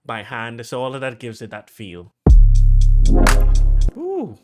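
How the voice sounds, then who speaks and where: A man with a Trinidadian accent casually talking through how he produced a track, chatty and full of fillers, getting excited as he goes. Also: medium pitch, takes it slowly, loud music behind the voice. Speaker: music producer.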